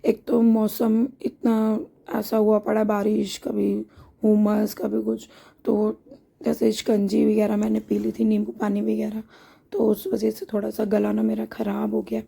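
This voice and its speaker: A hoarse woman vlogger narrating her daily home routine in a chatty, homely way, explaining each step as she goes. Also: mid-range voice, monotone, unhurried, mellow. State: hoarse from a sore throat.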